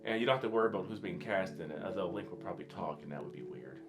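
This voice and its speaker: A man's voice: low voice, very fast. A man co-hosting a podcast, talking casually in a rambling, informal way with enthusiasm for games. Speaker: podcast co-host.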